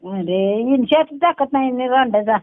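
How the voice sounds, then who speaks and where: A woman's voice: a little high, lively intonation, moderate pace, no room sound. An older woman with a rural Maharashtrian Marathi accent chats bluntly and earthily, swearing freely, over a tinny phone line. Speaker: prank call target. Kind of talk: casual phone chat. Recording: tinny, phone-like band-limited sound.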